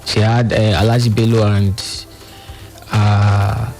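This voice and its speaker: A man with a Nigerian accent speaking as a radio panel guest, halting and searching for his words as he explains. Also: fairly low pitch, little pitch movement, creaky, darkish tone, faint music behind.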